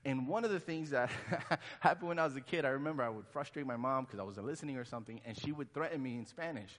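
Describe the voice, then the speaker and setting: A man's voice: medium pitch, quick pace, a bit bright. A man from Honduras, a pastor in his thirties, preaching a sermon in a conversational way and acting out the quotes he tells. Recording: long hall reverb.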